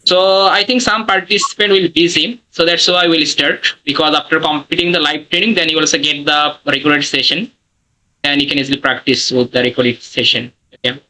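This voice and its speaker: A man instructor with a fairly high, very bright voice runs a live online class over a video call. He speaks in simple non-native English and keeps filling pauses with "okay" and "uh." The sound is a typical call-style recording. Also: steady tone, poor audio. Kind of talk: live online training session.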